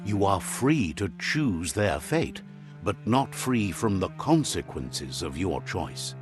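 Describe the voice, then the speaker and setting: A man narrating a video game in a measured storytelling style, relaying what the characters say. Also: low-pitched, sing-song, over music.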